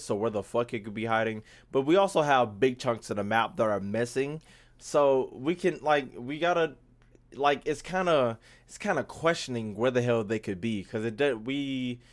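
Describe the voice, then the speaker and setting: A young man giving casual, rambling livestream gaming commentary, slangy and full of swearing. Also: mid-range voice. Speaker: game streamer.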